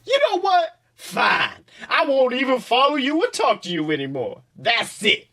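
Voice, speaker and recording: Excitable, high-pitched sassy donkey. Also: male voice, unhurried, exaggerated voice. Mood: indignant. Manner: exclamatory, indignant. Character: excitable, sassy talking male donkey, easily offended.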